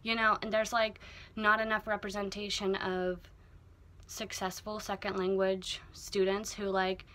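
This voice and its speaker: A young woman chatting casually to camera in a small wood-panelled houseboat cabin, full of fillers and animated asides. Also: medium pitch, a little slow. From United States. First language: English. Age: young adult. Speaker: vlogger. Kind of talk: casual vlog chat to camera. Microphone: laptop camera at close range.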